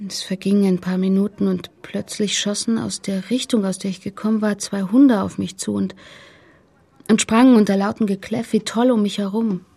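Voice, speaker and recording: Grieving mother, middle-aged, radio play, breathy rasp, heavy creak, guarded, hesitant delivery. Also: mid-range voice, fairly even intonation, dark tone. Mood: subdued, halting. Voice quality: very husky, breathy, whispery, creaky. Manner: halting, hesitant.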